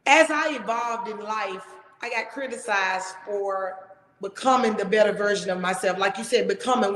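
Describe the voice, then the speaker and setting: A woman with a big, loud, somewhat high voice hosts a casual talk show with emphatic, expressive delivery. Her sound rings around a roomy space with a long, trailing reverb. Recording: echoey room with long reverb.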